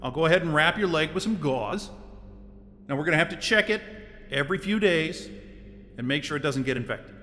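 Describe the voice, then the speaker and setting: Weary, sarcastic man, high and lively. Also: fairly quick, pauses often, in a large hall, slight background noise. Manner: weary, sarcastic and short-tempered. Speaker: lead character. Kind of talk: audio drama acting.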